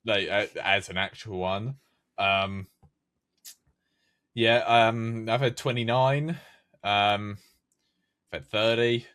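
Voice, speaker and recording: A man's voice: lowish voice, fairly even intonation, slow pace, pauses often, fairly bright tone. A man of nineteen, a podcast guest who creates game maps, chatting casually and rambling with many fillers and restarts. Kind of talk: casual chat.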